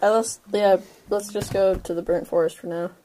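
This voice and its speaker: A woman with a medium-pitched voice speaks casually as a player at a tabletop game. The recording carries faint noise and clicks. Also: steady tone, moderate pace, mellow. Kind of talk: casual chat during a game.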